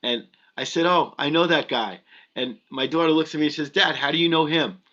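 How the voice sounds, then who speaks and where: A man's voice: fairly high pitch, brisk, pushed and bright. A middle-aged man hosting a live stream, giving a casual introduction in an effusive, emphatic way. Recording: dull lo-fi web stream audio.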